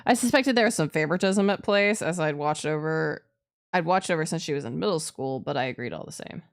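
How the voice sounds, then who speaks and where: A woman's voice: sits low, animated, a little fast. A woman streamer playing a visual novel live, reading the narration aloud and adding playful, casually sweary asides. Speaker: streamer, virtual YouTuber.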